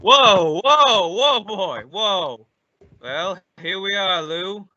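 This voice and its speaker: A performer playing a brisk, commanding, exasperated male straight man in a 1940s-style radio comedy duo. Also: medium pitch, lively intonation, muffled recording, background noise.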